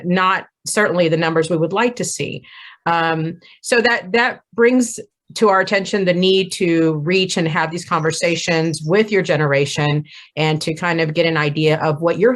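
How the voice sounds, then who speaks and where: A woman with a lowish voice hosts a relaxed group chat over a video call. She is friendly and unhurried, and her speech is full of small fillers and restarts. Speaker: host and moderator. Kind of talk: casual group conversation.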